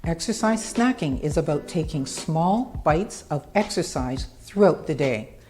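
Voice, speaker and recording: A woman's voice: deep voice, animated, a little slow, a little mellow. A woman presenting a short scripted fitness tip segment for a sponsor, with a polished, upbeat delivery.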